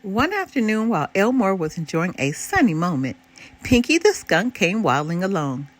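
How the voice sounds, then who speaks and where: An older woman narrates a children's picture book. Her reading is expressive, with a highly animated, sing-song range at a middling pitch for a woman. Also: moderate pace.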